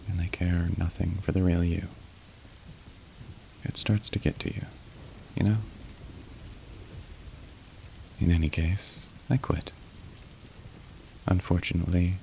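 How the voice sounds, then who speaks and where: A man playing a weary, tender young demon in an intimate ASMR roleplay, speaking softly and hesitantly with gentle wry humor. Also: deep voice, gravelly, a little hiss or hum. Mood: wistful, confessional. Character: weary, tender young male demon working a phone line. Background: rain and thunder.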